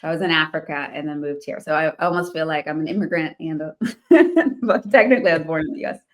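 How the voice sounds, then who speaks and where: A woman's voice: low-pitched, fast talker. A woman from the Washington, D.C. area, a guest in an interview telling her life story casually, laughing often and restarting her sentences. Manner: laughs often while talking, many restarts. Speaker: guest, real estate investor.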